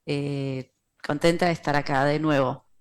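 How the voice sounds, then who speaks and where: A woman from Argentina, a financial analyst speaking as an interview guest in a measured, explanatory way with many hesitations. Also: low-pitched, steady tone, clean tone. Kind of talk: interview conversation.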